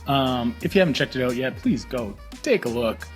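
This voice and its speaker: A man's voice: mid-range voice, expressive, moderate pace, faint music behind. Upbeat man hosting a podcast. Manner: upbeat, promotional, repeats words for emphasis. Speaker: podcast host. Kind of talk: casual restaurant review intro. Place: small restaurant.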